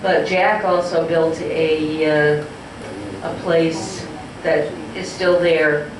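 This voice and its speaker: A woman presenting old photos to a local group, chatting with many ums and pauses. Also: sits low, steady tone, a crowd in the background. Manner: hesitant, many ums, fond. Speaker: presenter of a community history session. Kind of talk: casual storytelling to a group. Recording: echoey hall, distant mic, noisy.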